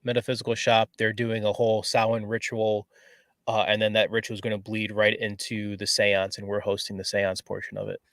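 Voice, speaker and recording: A man's voice: fairly low pitch, monotone, brisk, fairly bright tone, no echo. A man who investigates the paranormal, chatting casually and explaining things in stop-start phrases. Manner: explains in stop-start bits, repeats words. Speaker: paranormal investigator, podcast regular. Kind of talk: casual chat.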